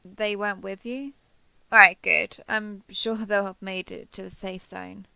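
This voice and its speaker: Woman doing a big friendly alien robot soldier for roleplay audio, kind n a bit awkward, bright voice w some rasp-fry, super dry sound. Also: medium pitch, flat delivery, a little slow, no echo, full character voice. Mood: friendly, earnest. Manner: gentle, reassuring, slightly awkward. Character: giant friendly alien transforming robot soldier, caring and reassuring. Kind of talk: scripted roleplay audio drama addressed to listener.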